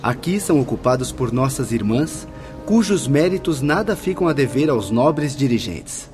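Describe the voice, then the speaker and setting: A man's voice: mid-range voice, animated, moderate pace, over music, natural voice. An actor playing a polite, instructive male spirit guide in a radio play. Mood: explaining.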